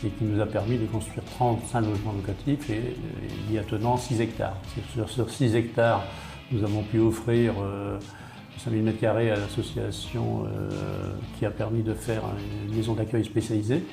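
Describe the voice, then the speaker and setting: Male mayor in interview, gravelly low voice, explanatory and enumerating, roomy recording with guitar music behind. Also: moderate pace. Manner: matter-of-fact, enumerating, repeats and rephrases. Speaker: small-town mayor being interviewed. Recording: roomy, echoey sound under guitar music.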